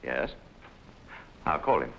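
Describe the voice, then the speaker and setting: Radio drama nobleman, very low gravelly voice, formal, anxious, halting, expressive range. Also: slight background noise, exaggerated voice. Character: dignified, anxious, devoted male English lord and husband. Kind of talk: old-time radio drama acting. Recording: dull old radio recording with music.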